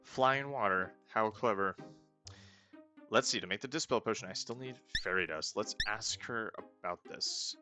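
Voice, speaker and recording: A man casually commenting on a game he is playing, planning his next moves aloud and often trailing off into soft mutters. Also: lowish voice, normal speed, faint music behind. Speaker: let's play host.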